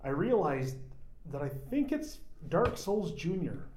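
A man's voice: sits high, unhurried, slight background noise. Laid back guy explaining stuff on a podcast, ums here n there, echoey room. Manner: relaxed and explanatory, some hesitations. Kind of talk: casual chat.

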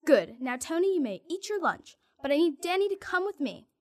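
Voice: very high-pitched, very animated, a little fast, pitched above the performer's own voice, exaggerated voice. A narrator voicing a stern female elementary school teacher in a commanding manner for a storybook.

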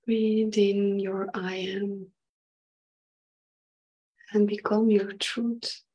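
A woman leading an unscripted guided meditation in short halting phrases, with a breathy voice that sometimes nears a whisper. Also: medium pitch, flat delivery, warm tone, lo-fi sound.

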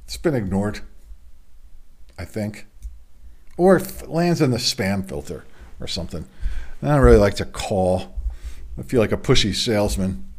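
A middle-aged man with a hoarse voice, hosting a casual sports roundup from a home studio, with rambling, self-deprecating asides. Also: on the low side, animated, moderate pace, pauses often, darkish tone. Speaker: sports podcast host.

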